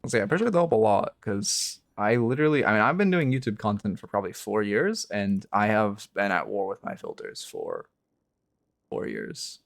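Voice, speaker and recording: A man with a low, gravelly voice chats on his stream, close to his microphone in a dry, dead room. He thinks aloud, curses freely, and his pitch jumps up on inflections. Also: very animated, moderate pace, no echo. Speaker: game coach and streamer. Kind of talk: casual live-stream chat.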